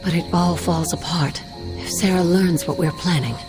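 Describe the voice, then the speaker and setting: Low-voiced female mentor spirit played by an actress, caring, theatrical, measured; video game drama over clear music. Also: fairly even intonation, close to an everyday voice. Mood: grave, urgent. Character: wise sorceress spirit, caring mentor, resolute. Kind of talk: video game cutscene acting. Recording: music behind the voice.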